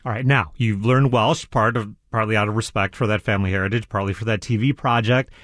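A man's voice: lowish voice, steady tone, fast, a bit bright, no room sound, studio quality. Friendly curious male interviewer. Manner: friendly, self-deprecating, curious questioning. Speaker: interview host. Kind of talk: conversational interview.

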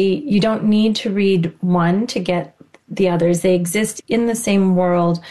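A woman translator speaks in a measured, reflective way. Her voice is a little low and mellow. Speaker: literary translator. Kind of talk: interview answers.

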